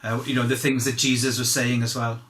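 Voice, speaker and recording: A man playing a candid, humble fisherman giving halting, conversational eyewitness testimony in a courtroom drama. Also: mid-range voice, little pitch movement, fairly bright tone, close to an everyday voice. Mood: plain, hesitant. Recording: roomy, echoing sound.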